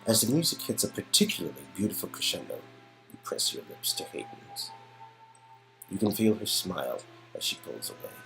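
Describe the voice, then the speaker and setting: Low-pitched man narrating an interactive romance. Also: sing-song, normal speed, vocal fry, bright, forceful tone, soft background music, poor audio. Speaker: narrator and player character reader. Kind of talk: expressive second-person story narration with playful dialogue.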